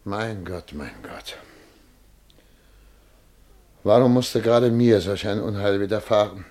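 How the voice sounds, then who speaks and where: An actor playing a vain, middle-aged major in a radio drama, formal, plaintive and easily indignant. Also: male voice, lowish voice, slow pace, a little mellow. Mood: despairing, lamenting. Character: vain, distraught major who has lost his nose. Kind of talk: theatrical German radio drama acting.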